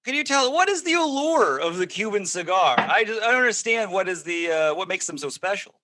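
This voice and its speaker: Lively high-voiced comedy podcast co-host. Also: male voice, fairly quick, noisy background. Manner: playful interjections, laughing. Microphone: video call.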